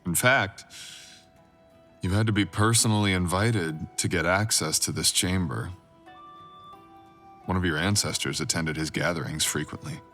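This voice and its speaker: Man playing a very deep-voiced brooding supernatural boyfriend. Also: normal speed, long pauses, fairly bright tone, faint music behind. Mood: sly, intimate. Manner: intimate, teasing, drawling. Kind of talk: boyfriend ASMR audio roleplay.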